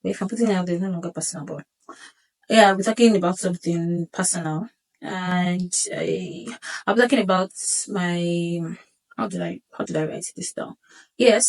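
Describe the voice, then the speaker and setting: A woman's voice: on the low side, fairly even intonation, moderate pace, low-quality recording. Chatty young woman vlogging, seated. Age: 20s. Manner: chatty, rambling, with self-corrections and light laughs. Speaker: vlog host. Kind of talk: casual solo vlog monologue. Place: seated indoors.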